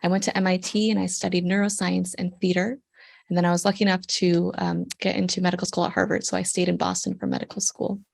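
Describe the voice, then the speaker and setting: A young woman from Canada answers interview questions in a friendly, explanatory way. Her voice sits low and has a gravelly fry. She often pauses with an "um" as she gives advice. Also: flat delivery. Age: young adult. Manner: friendly and explanatory, with frequent ums. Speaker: guest, surgical intern.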